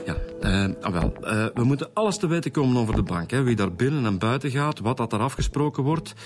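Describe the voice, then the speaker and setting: A man's voice: medium pitch, lively intonation, fast talker, lightly acted. A man with a Flemish accent playing an eager, informal police inspector in a radio drama. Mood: eager, persuasive. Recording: music behind the voice.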